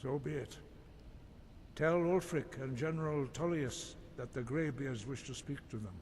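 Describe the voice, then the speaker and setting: An actor playing a solemn, wise elderly monk master in a fantasy video game, speaking in a grave, measured, formal way. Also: male voice, medium pitch, a little mellow, a little hiss or hum, lightly acted. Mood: grave, resigned.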